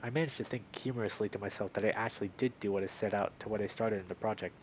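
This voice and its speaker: A man streaming a visual novel, moving between read-aloud narration and casual, animated commentary. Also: fairly low pitch, rapid-fire, muffled recording, slight background noise.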